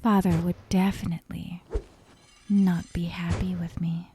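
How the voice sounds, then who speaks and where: A woman voicing an obsessive, sadistic young yandere captor in a soft, breathy ASMR roleplay, half-whispering with sweet menace. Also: fairly low pitch, little pitch movement, slight background noise. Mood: playfully sadistic. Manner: slow, breathy half-whispers broken by giggles. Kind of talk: ASMR roleplay, intimate dramatic monologue.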